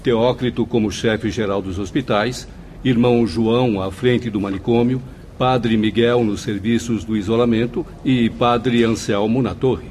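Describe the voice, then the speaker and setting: A man's voice: mid-range voice, fairly even intonation, unhurried, a little mellow, a little hiss or hum, lightly acted. An actor playing a curious, formal male professor visiting a spirit hospital.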